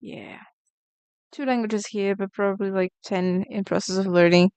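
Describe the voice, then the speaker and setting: Woman, casual streamer chat, smooth resonant voice, mid pitch. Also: fairly even intonation, normal speed, darkish tone, no room sound. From Brazil. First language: Portuguese. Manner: chatty, reacts to chat messages. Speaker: artist streamer. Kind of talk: casual live-stream chat while drawing.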